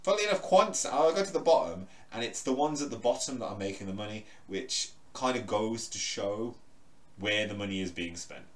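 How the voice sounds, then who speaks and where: A man with a booming voice talks loosely to camera from his bedroom, full of fillers and restarts, as he stands a little way from his microphone. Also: lively intonation, brisk, a bit bright, distant mic. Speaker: crypto vlogger. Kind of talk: casual talk to camera. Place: bedroom, indoors. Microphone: microphone in the room, standing away from it. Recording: roomy bedroom echo.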